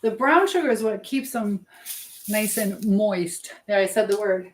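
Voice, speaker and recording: A woman's voice: mid-range voice, lively intonation, measured pace. A woman chatting casually as a livestream host while busy in a home kitchen, rambling informally as she answers viewers. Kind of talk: casual live chat while baking. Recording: echoey room with clear background noise.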